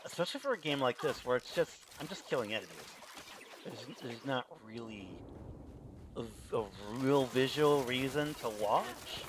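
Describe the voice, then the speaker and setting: A man streaming a game, chatting in a relaxed, rambling way. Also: mid-range voice, normal speed, background noise. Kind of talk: casual commentary while gaming.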